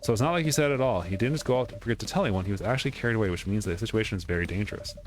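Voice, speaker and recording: Fast-talking man with a low voice. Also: soft background music. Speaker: let's play streamer. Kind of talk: reading game dialogue aloud during playthrough.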